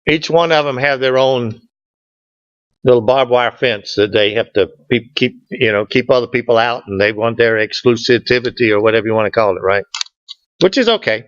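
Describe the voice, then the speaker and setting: A man presents slides in a casual, folksy way and jokes with the people listening. His voice sits at a middling pitch. The recording is dull and dry, with little echo. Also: moderate pace, fairly bright tone. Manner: folksy, joking with the audience. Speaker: club presenter. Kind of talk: informal slide presentation with audience back-and-forth. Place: meeting room, standing at a lectern indoors.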